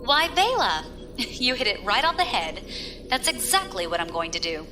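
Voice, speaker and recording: A woman's voice: mid-range voice, very animated, moderate pace, music in the background, lightly acted. An actress playing a cool, scheming female space pirate leader in an audio drama, wry, teasing and self-assured. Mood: smug, playful.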